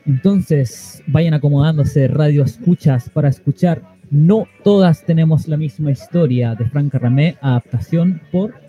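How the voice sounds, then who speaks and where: A man's voice: a little high, unhurried, mellow, breathy edge, soft background music. A Chilean man presenting a community radio show as its host. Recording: dull lo-fi radio audio.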